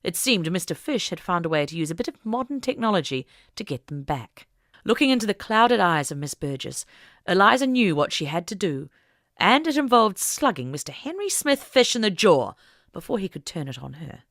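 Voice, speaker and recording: A woman reading a scripted story as an audiobook narrator, in an expressive storytelling style. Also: fairly low pitch, normal speed, dry recording, clean studio sound.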